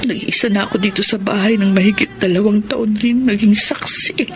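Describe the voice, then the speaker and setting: A woman acting a grieving, lovelorn woman in a Filipino radio drama, with a tearful, drawn-out, breathy delivery. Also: medium pitch, steady tone, lightly acted. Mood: wistful, tender. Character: grieving lovelorn woman, tender and longing, bereft of partner. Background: music behind the voice.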